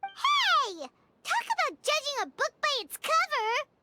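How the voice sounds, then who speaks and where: An actress voicing a tiny, excitable female fairy companion in a game, loud and bouncy. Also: very high-pitched, faint music behind, exaggerated voice. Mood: indignant. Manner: loud, bouncy, quick to exclaim. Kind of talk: game voice acting.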